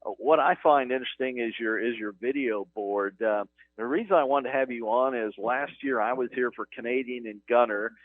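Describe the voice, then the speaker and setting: A man hosting a halftime radio interview from a stadium press box, talking in a relaxed, folksy way with easy jokes. His voice sits at an everyday middle pitch. The sound comes through thin and phone-like, as on a narrow radio feed. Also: normal speed. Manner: chatty, folksy, jokes with guest. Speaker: radio sports broadcaster.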